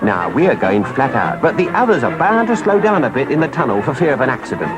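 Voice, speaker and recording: A man's voice: medium pitch, sing-song, a little fast, over music, close to an everyday voice. A man voicing a stern but kindly engine driver in a storybook, earnest and plain-spoken. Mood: urgent.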